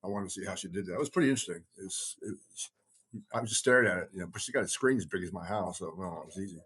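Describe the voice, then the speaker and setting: Male co-host with a low, rough-edged husky voice, halting and conversational, thinking aloud. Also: a bit bright. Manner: halting, circling back, repeats himself. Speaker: podcast co-host, heathen group leader. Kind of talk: casual rambling chat.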